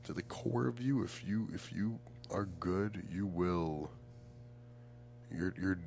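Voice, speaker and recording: Very deep-voiced earnest young man. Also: takes it slowly, gravelly, a little mellow, a little hiss or hum. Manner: earnest, emphatic, searching for words. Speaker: podcast guest, poet. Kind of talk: casual, reflective podcast conversation.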